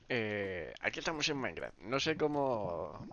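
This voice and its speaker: A man's voice: big pitch swings, takes it slowly, vocal fry, fairly bright tone, muffled recording. Congested man streaming a game. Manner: rambling, many restarts, low mumbled asides. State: congested, sore throat. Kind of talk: casual live-stream chat.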